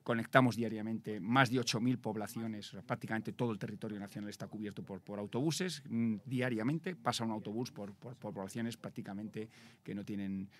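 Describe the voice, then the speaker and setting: A man's voice: on the low side, a little fast. A man with a Spanish accent answering interview questions as an industry representative, explaining in detail and often repeating words as he goes. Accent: Spain. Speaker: bus industry association president, interviewee.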